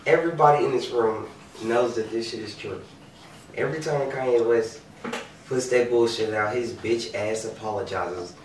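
Man, blunt profane talker, loud outbursts, far from the mic, noisy echoey room. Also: mid-range voice, moderate pace. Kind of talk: casual heated chat. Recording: roomy room from a distance, clear hiss.

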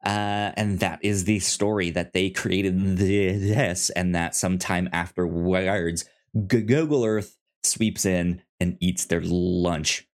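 Low-pitched man, halting podcast host. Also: steady tone. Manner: halting, stammering with repeated word starts. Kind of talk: casual review chat.

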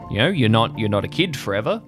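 A man acts out a gruff former sailor in his thirties for an audio drama. He is low-voiced, stubborn and evasive, brushing questions aside, over clearly audible background music. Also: big pitch swings, quick pace. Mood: dismissive. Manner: stubborn and dismissive. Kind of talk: improvised audio drama dialogue.

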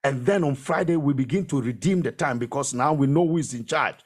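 A man's voice: mid-range voice, fairly quick, breathy edge. A man preaching a sermon as a preacher, emphatic and exhortatory, repeating phrases to drive them home.

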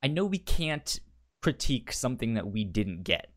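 A man's voice: medium pitch, very animated, normal speed. Man thinking aloud, stammering. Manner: stammers and repeats words while thinking aloud. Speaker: podcast co-host. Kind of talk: casual book-club chat.

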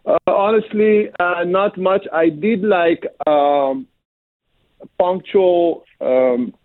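A man born in Africa, a singer and producer, answering radio interview questions by phone with many pauses and fillers. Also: high voice, no echo. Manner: halting, many fillers, slow and careful. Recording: tinny phone line.

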